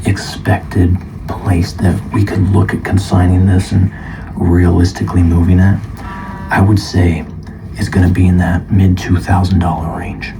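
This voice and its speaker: Male, very low voice, calm ASMR shop appraiser, flat and unhurried, half-whispered at times, clear background noise. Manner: calm, measured, partly whispering. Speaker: guitar shop appraiser in a roleplay. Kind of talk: ASMR roleplay, soft-spoken.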